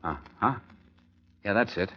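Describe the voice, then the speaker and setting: A man's voice: fairly low pitch, slight background noise, close to an everyday voice. Man playing a wry radio detective, muffled sound. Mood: wry, probing. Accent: American. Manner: sardonic, slangy, quick comebacks. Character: wry, tough-talking male amateur sleuth. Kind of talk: 1940s-50s radio detective acting. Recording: muffled vintage radio broadcast.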